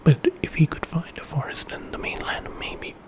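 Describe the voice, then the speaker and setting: A man telling an ASMR story in a hushed, unhurried whisper with pauses. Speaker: ASMR storyteller, channel host.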